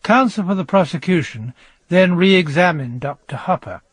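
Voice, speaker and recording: A man narrating a historic trial in a measured, dryly wry, scripted storytelling style. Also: high-pitched, expressive, husky, no room sound. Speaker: narrator, presenter. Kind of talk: scripted true-crime narration.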